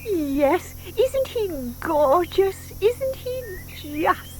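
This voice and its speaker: A woman's voice: very high voice, very animated, very slow, darkish tone, slight background noise, heavily acted. An actress playing a doting, sentimental older woman who coos over her pet in an audio drama, with gushing, emphatic delivery. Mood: wistful.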